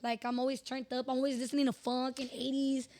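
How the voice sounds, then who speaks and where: A woman, hoarse from hosting events, chatting candidly as a podcast guest and telling animated, slangy stories. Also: sits high, fast. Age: 20s. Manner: animated storyteller, slangy and profane, emphatic. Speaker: podcast guest, radio personality. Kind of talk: candid casual interview chat.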